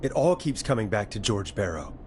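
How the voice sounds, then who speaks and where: A man playing an earnest, caring late-night radio host in a measured, dramatic style. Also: on the low side, very animated, a little hiss or hum. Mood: intent. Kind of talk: dramatic game voice acting.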